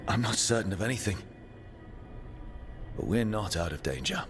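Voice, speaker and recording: Low-voiced, earnest young hero played by a man. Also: animated, normal speed, over music, close to an everyday voice. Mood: grave. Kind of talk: cinematic video game dialogue.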